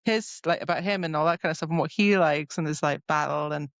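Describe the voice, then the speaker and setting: A woman's voice: sits low, fast, no room sound, dull audio. A woman co-hosting a podcast, chatting casually about books in a talkative way, with lots of "like" asides. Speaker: podcast co-host. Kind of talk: casual book discussion.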